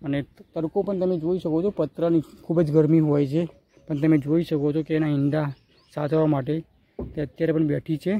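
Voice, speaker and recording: A man's voice: a little high, level tone, a little fast, lo-fi sound. Young Gujarati man vlogging from his rooftop. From Gujarat, India. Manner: narrates what he films, repeating phrases often. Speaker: village vlogger. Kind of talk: casual walk-and-talk vlog. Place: outdoors on a rooftop in a village. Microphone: handheld phone camera. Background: birds calling.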